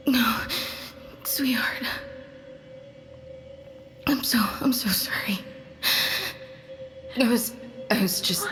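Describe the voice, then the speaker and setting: A woman playing a dying, apologetic mother in a horror audio drama, weak and tearful, stammering in a breathy voice. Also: on the high side, fairly bright tone. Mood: dying, remorseful. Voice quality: breathy, whispering. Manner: halting, stammering. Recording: faint horror music behind.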